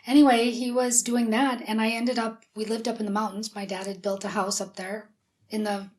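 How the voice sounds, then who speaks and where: A woman with a raspy, breathy voice talks as an interview guest, telling personal stories in an open, chatty way. She is from South Dakota and speaks from a studio. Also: a little high, little pitch movement, moderate pace, a little mellow. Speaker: whistleblower guest.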